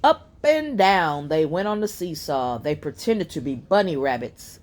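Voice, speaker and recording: Slow-reading woman telling a children's story. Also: deep voice, very animated, darkish tone. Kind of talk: read-aloud bedtime story narration.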